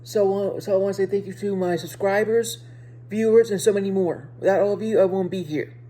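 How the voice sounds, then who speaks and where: A woman with a speech impediment giving a casual video review to viewers, speaking haltingly and often repeating and rephrasing her words. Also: medium pitch, fairly even intonation, warm tone, breathy edge.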